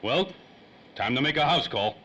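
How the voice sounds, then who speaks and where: A man's voice: medium pitch, lively intonation, moderate pace, close to an everyday voice. An actor playing a world-weary, wisecracking private eye in a 1930s radio play, full of slangy tough-guy talk. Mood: wry, musing. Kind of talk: old-time radio drama acting. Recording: faintly noisy old-time radio recording.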